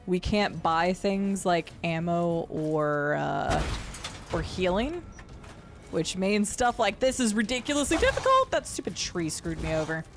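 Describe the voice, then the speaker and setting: A young adult woman with a gravelly voice and deep vocal fry, chatting casually while streaming a game. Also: very animated, measured pace. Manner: chatty, swears and laughs at the game. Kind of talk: casual live-stream chat while gaming. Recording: faint game music behind.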